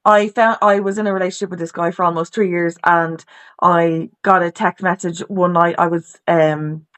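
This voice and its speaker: A woman's voice: lowish voice, steady tone, normal speed, a bit bright. An Irish woman from Dublin, a podcast guest, talking candidly and informally about her life on a video call, with many restarts and "you know"s. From Ireland, Dublin. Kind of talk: candid personal story in conversation.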